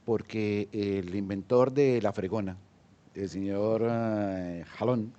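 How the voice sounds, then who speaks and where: Low, mellow-voiced Colombian man. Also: fairly even intonation, takes it slowly, lo-fi sound. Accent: Colombian Spanish. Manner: hesitant, many fillers and restarts. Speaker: entrepreneur panelist. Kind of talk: panel discussion.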